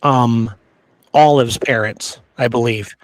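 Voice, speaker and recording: A man's voice: mid-range voice, steady tone, slow pace, no echo. Earnest man in dry, close sound. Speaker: podcast host. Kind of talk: conversational history podcast talk.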